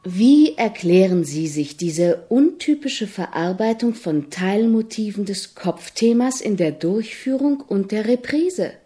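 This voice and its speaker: An actress playing a persistent, highbrow woman music journalist with formal, wordy questioning in a radio play. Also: animated, measured pace, hardly any pauses, mellow, natural voice. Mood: insistent, pedantic.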